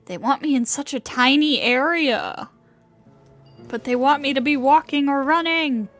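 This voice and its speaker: Higher-pitched woman streaming a game. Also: measured pace, fairly bright tone, soft background music. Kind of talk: casual livestream commentary, reading game dialogue aloud.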